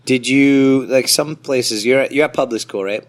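A man's voice: mid-range voice, normal speed. A man hosting a podcast with casual banter, joking and swearing, often restarting his questions. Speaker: podcast host.

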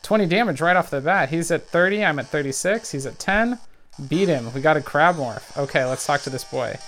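A man's voice: a little high, brisk, slight background noise. A man giving casual running commentary on a video game, calling out every move and the health numbers as he plays. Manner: excitable, narrates every move and health count aloud. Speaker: let's play gamer.

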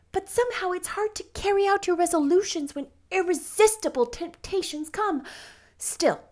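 An excitable young girl from a storybook, played by a woman, very high in pitch and lively. She gushes in effusive exclamations, romantic and full of gratitude. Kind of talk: storybook narration character voice.

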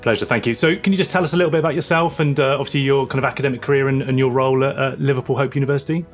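A man hosting an academic podcast, asking questions quickly with many repeated words and restarts. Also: medium pitch, fairly even intonation, faint music behind. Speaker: podcast host, professor. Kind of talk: podcast interview.

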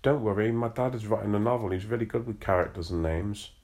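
A cheerful young woman, as a male reader puts her on, his pitch nudged upward. She speaks quickly and brightly, smoothing things over. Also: steady tone, close to an everyday voice. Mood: reassuring.

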